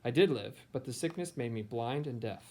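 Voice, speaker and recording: A man's voice: medium pitch, animated, a little fast, darkish tone, slight background noise. A man with an American accent, a teacher who talks informally and reads picture books aloud. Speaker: teacher and read-aloud host. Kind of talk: picture book read-aloud, informal introduction.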